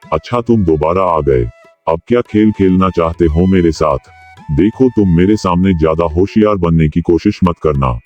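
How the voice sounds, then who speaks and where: A gruff, deep-voiced scheming landlord. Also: male voice, normal speed, dull audio, faint music behind. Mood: bossy. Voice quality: bossy, scornful, talks down to the farmer. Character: scheming greedy village landlord, middle-aged man.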